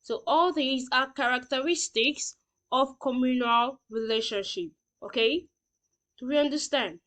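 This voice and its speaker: A woman's voice: high voice, measured pace, fairly bright tone. A woman teacher reading out lesson points and explaining them simply, often checking that learners understand.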